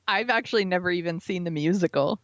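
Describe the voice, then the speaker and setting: A woman's voice: fairly low pitch, animated, moderate pace, no echo. A woman chatting casually as a podcast co-host, reacting to what she hears. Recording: slightly dull, lo-fi sound.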